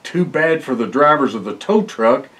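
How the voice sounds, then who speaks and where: An older man reading a children's picture book aloud in an expressive way, with exclamations and short asides. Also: medium pitch, moderate pace. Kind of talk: storybook read-aloud for children. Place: indoor library room, seated by bookshelves.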